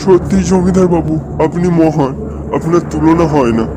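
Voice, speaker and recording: A man's voice: a little high, normal speed, noisy background, lightly acted. A man playing a poor, desperate village father in a cartoon, with a begging manner. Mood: grateful. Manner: begging, grateful. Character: desperate, pleading poor father of sick child. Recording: loud music over the voice.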